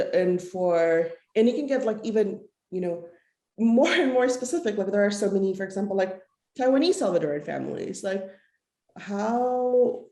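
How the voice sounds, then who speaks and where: A woman on a panel talking earnestly and casually, halting as she searches for words, in a roomy recording. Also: mid-range voice, dark tone. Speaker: author panelist. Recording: roomy, echoey sound.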